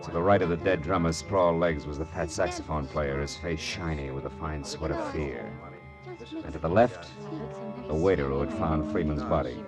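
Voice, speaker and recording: A man's voice: very deep voice, moderate pace. An American man playing a tough, sardonic private detective in a 1940s radio drama, clipped and wisecracking, both acting scenes and narrating. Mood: grim, wry. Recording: vintage radio recording with music behind.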